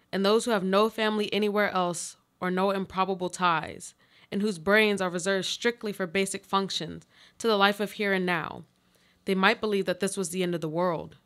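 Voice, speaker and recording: A woman playing a bitter, dazed earthquake survivor, speaking a measured literary monologue in a live radio play. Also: mid-range voice, a bit bright, close to an everyday voice.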